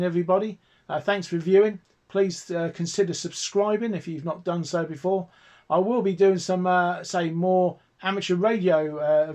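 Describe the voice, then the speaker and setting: A British man with a fairly high-pitched voice talks as the host of a hobby vlog. His delivery is casual and chatty, with an enthusiast's manner. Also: darkish tone.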